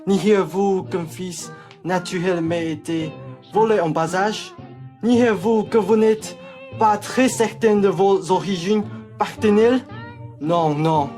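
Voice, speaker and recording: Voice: medium pitch, a little mellow, faint music behind, natural voice. A young performer playing a theatrical older aristocrat in a French farce made as a radio play, melodramatic and declamatory. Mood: melodramatic, insistent. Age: young adult. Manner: melodramatic, declamatory, slow delivery. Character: theatrical older male aristocrat claiming a long-lost son.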